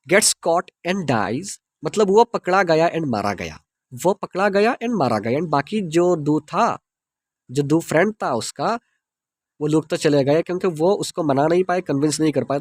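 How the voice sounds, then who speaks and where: A man with an Indian accent reads a moral story aloud to teach English. He spells out words and translates them into Hindi. His voice is high and very animated, recorded dry and close to the mic. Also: dry recording. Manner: reads English lines slowly, then explains quickly in Hindi. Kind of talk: reading a story aloud and explaining it word by word.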